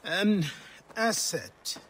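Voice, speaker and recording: A gruff old mentor in a video game. He is weary, measured and sparing with words, in a slightly high male register. Faint ambient noise sits behind him. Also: lively intonation, a bit bright, full character voice. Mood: wry, reserved. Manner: slow, measured, terse. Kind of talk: video game cutscene acting.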